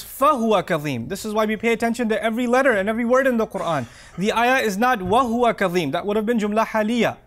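A man in his thirties giving an expressive Friday sermon in a small prayer hall, reciting verses and then explaining them. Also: extremely high pitch. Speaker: preacher. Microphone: lapel mic.

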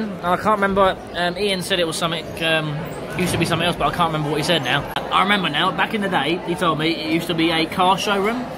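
High-voiced young man vlogging. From England. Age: young adult. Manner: chatty, jokey, repeats phrases. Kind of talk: casual vlog chat. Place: on the go in car, large busy pub, theatre. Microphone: handheld phone at arm's length. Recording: loud music and bustling crowd behind.

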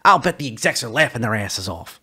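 A man's voice: medium pitch, sing-song, fairly quick, bright, forceful tone, breathy edge, exaggerated voice. A man voicing a defensive, flippant male smuggler in a story reading, hesitant and stammering as he pleads.

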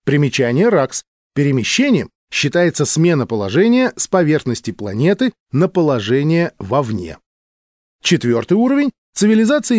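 Guy narrating a sci-fi audiobook, formal measured delivery, lots of intonation, dry close studio sound. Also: fairly high pitch, a little mellow, no echo. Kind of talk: scripted audiobook narration of science fiction.

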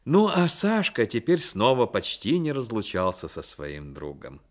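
An actor playing the storyteller of a radio play, narrating in a literary style. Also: male voice, on the low side, huge pitch swings, measured pace. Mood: reflective. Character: storyteller narrating a boy's life.

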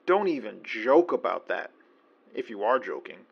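An actor playing a weary, skeptical supernatural sleuth in naturalistic audio drama dialogue. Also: male voice, lowish voice, sing-song, moderate pace, gravelly, husky, muffled recording, exaggerated voice. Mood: wary.

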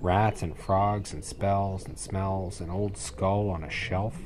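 A low-pitched man reads a rhyming picture book for small children. His delivery is slow and theatrical, with clearly audible sound effects and ambience behind him. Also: steady tone, background noise. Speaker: storybook reader. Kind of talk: rhyming children's story read-aloud. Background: sound effects, ambience, animal sounds.

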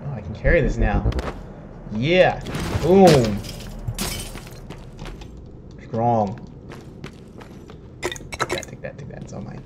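A young man with a slightly hoarse voice, chatting casually and jokingly while he plays and reads game text aloud. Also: medium pitch, animated, takes it slowly, long pauses, very noisy. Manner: chatty, joking, laughs at himself. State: slightly hoarse, says he is sick and losing his voice. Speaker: streamer playing a video game. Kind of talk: casual let's-play commentary, reading in-game text aloud. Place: home room, webcam in corner.

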